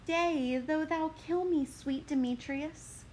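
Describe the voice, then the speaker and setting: A woman's voice: sits high, slow, a little mellow, slight background noise. A woman voicing a desperate, lovesick young woman, pleading urgently in dramatic verse.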